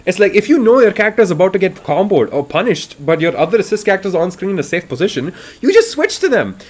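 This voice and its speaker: A man from India doing live, excitable fighting game commentary, his voice getting raspy, swinging between hype and quiet asides. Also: high voice, quick pace. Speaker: esports commentator.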